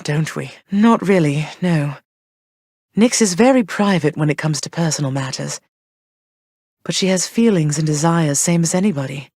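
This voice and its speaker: A gentle, regal queen and caring mother in a studio game. Her voice sits a little low, and she speaks warmly and with measured calm. The recording is dry and close. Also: no echo. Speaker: game character.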